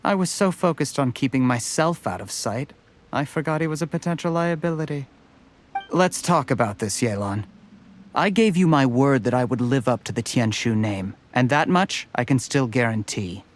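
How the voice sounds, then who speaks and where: A man voicing a smooth scheming official. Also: medium pitch, expressive, moderate pace, close to an everyday voice. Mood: pleading. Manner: polite, evasive, then pleading. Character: smooth-talking ambitious young male official. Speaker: game character.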